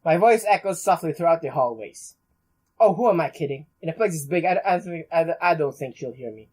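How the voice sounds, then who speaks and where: Higher-voiced young male gamer reading aloud. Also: animated, a little mellow, husky. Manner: halting, stumbles while reading. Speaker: let's play gamer. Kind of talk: reading game text aloud with casual commentary.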